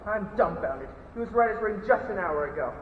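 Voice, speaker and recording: A young man playing a blustering upper-class gentleman in a melodramatic murder mystery farce, declaiming his lines. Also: high-pitched, lively intonation, fairly quick. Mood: shocked. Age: teen or young adult. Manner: declamatory, exclaiming. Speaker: student actor. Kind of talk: melodramatic stage farce acting. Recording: echoey noisy hall, distant muffled mic.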